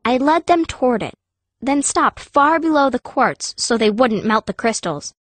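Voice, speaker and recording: A woman's voice: high-pitched, animated, unhurried. A woman voicing a fierce, cunning young girl mage who narrates her own story as an audiobook, with long reverb. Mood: tense storytelling. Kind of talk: first-person audiobook narration.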